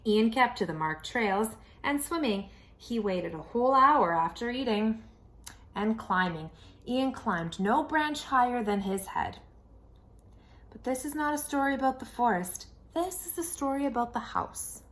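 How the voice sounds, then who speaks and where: A woman reading a children's storybook aloud in an expressive style, with dramatic emphasis and pauses. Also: fairly high pitch. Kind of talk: expressive picture-book read-aloud.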